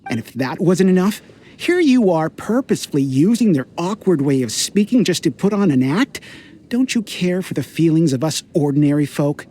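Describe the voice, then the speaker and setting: An actor playing a resentful townsfolk worker who speaks in an exasperated, confrontational way. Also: male voice, a little high, big pitch swings, moderate pace, dark tone.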